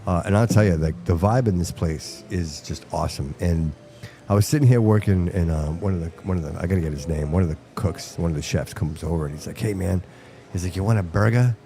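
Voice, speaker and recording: A man whose first language is Portuguese hosts a live broadcast from a restaurant venue, talking with a low, dark, mellow voice. He rambles in an animated way and repeats phrases as he chats. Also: fairly quick, faint music behind. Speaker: host. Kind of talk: casual live-stream chat. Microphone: headphones with mic.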